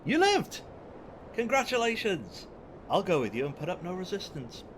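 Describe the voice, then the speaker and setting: A man's voice: sits high, wildly swooping pitch, moderate pace, fairly bright tone, a little hiss or hum, exaggerated voice. Cocky, flirtatious space rogue played by a man. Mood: gleeful. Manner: playful, teasing, theatrical. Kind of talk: audio drama acting.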